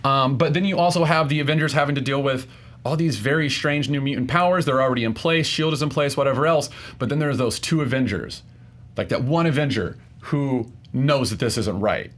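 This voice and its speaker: A man debating pop culture informally as a contestant, earnest and halting, often acting out imagined reactions. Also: mid-range voice, a bit bright. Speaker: debate contestant on a movie debate show.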